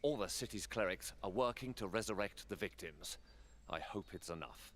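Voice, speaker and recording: A deep-voiced, stately fantasy lord. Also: male voice, animated, moderate pace. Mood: guarded, weary. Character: worried, stately male lord of a fantasy council. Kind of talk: fantasy video game cutscene acting.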